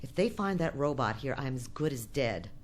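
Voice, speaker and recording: Shrewd woman in charge, low voice, lively delivery, commanding, guarded, radio drama. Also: normal speed. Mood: tense, secretive. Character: shrewd, bossy woman running a frontier outpost.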